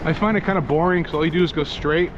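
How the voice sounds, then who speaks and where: A middle-aged man chats loosely as he pedals along an outdoor trail, often restarting his sentences. His voice sits a little high for a man. Wind roars into a muffled action-camera mic. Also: fairly even intonation. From New Hampshire, USA. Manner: rambling, easygoing, frequent restarts. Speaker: vlogger. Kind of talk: casual ride-along narration. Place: outdoors on a rail trail, riding a bike. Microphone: action camera on the rider, wind buffeting. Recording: muffled audio with heavy wind noise. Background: wind rushing past.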